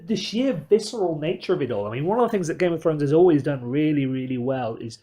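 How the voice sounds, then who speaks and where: A man's voice: on the high side, a little mellow. A man hosting a podcast, chatting casually in a wordy, emphatic, effusive way. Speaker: podcast host. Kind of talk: casual chat.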